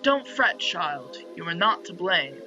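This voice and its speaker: A man's voice: high voice, very animated, measured pace, pushed and bright, muffled recording, faint music behind, full character voice. A man voicing a concerned, kindly aging priest in a visual novel, solemn and reassuring.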